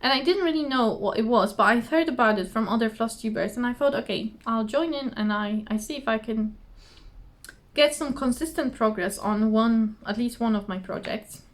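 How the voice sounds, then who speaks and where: A woman with a slightly hoarse voice, chatting casually to camera at home in a rambling, giggly, self-deprecating way. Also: fairly high pitch, normal speed. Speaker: cross-stitch vlogger. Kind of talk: casual chatty craft vlog. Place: indoors at home, trees outside behind her.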